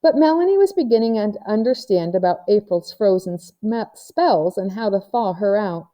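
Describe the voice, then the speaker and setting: A woman's voice: mid-range voice, expressive, normal speed, mellow. A woman narrating a children's novel as a read-aloud storyteller. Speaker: audiobook reader.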